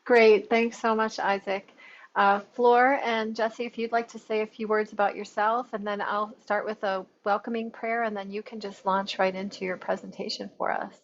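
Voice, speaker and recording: A woman's voice: medium pitch, level tone, moderate pace. A woman moderating an online meeting as host, handing over to speakers. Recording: dull call audio.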